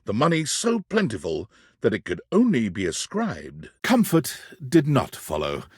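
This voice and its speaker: A man playing the narrator of a video game, telling the story in a scripted, dramatic, deliberate storybook manner. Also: medium pitch, wildly swooping pitch, husky, dry recording, studio quality, exaggerated voice. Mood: dramatic storytelling.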